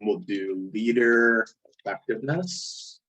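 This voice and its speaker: A man with a hoarse, failing voice who often clears his throat, walking step by step through a statistics software tutorial over a screen recording. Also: lowish voice, slow pace, rough recording. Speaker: statistics instructor. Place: indoors at a computer, voice-over on screen capture.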